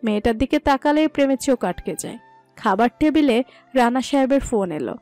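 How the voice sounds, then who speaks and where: A woman's voice: a little high, animated, fairly quick, dark tone, soft background music. A woman reading a scripted story as its narrator.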